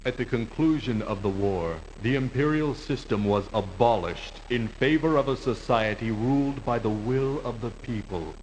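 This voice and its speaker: A man's voice: mid-range voice, moderate pace, few pauses, darkish tone. A man reading scripted narration for a video game epilogue. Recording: faintly noisy 1990s game audio.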